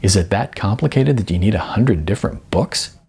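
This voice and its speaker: Man acting a hesitant young hopeful, gravelly. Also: on the low side, animated, warm tone, heavily acted. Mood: amused, teasing. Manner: hesitant, with stammers and pauses. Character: naive, eager young male aspiring actor. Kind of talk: audiobook character dialogue.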